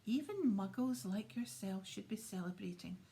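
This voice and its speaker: A woman doing a squeaky, jubilant tiny old man. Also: lively intonation, normal speed, gravelly. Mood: joyful. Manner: soft, whispery delivery with sudden high exclamations.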